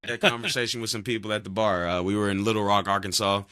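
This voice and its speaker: A man's voice: on the low side, fairly even intonation, fast talker, sharp tone, clean tone, no room sound, clean studio sound. A man in his thirties hosting a podcast in casual chat, rambling and cursing freely, often trailing off mid-sentence. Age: 30s. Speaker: podcast host.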